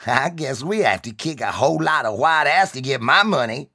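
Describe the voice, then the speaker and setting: Brief: man putting on a huge, hot-tempered young soldier, shouting in a raised, strained pitch, threatening and loud. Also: expressive, moderate pace, no echo, clean studio sound. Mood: menacing. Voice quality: loud, bullying. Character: enraged hulking young male army private.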